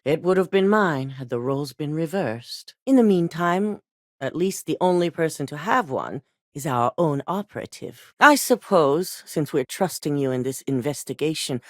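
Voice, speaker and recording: A woman's voice: deep voice, no echo, studio quality. An actress voicing a cold, ruthless woman corporate executive who gives clipped, commanding orders in video game dialogue.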